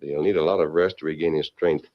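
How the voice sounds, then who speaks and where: A man playing a steady, authoritative masked western hero in old-time radio drama, speaking with crisp command. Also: extremely low pitch, fast. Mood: calm, firm. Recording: muffled old radio recording.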